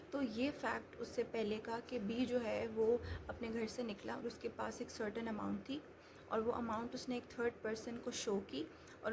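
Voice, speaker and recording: A woman explaining law step by step, many hesitations and restarts. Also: on the high side. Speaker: law lecturer. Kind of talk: explanatory lecture. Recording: noisy background hiss.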